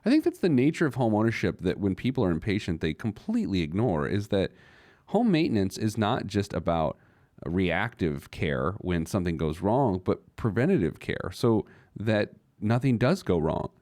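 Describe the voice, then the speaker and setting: A man's voice: lowish voice, sing-song, normal speed, darkish tone, dry recording, clean studio sound. A man hosting a personal finance show, giving conversational advice in an emphatic manner with rhetorical questions and joking asides.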